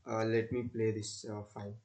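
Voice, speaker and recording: A young man giving an unscripted screen-recording tutorial as a student presenter, speaking haltingly and repeating himself. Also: fairly low pitch, little pitch movement, a little mellow.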